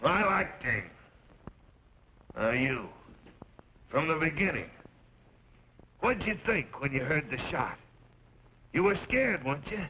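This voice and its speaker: High, folksy old frontier rancher. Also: male voice, big pitch swings, pushed and bright, exaggerated voice. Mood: nosy, folksy. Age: older. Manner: folksy, rambling, drawn-out emphasis. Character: arthritic old frontier rancher, folksy, garrulous, quietly menacing father. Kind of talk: old-time western radio drama acting. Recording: muffled, noisy old radio recording.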